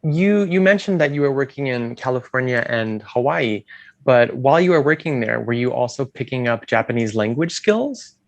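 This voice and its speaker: A man's voice: medium pitch, expressive, normal speed, vocal fry, no echo. Man hosting a video call interview. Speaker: host.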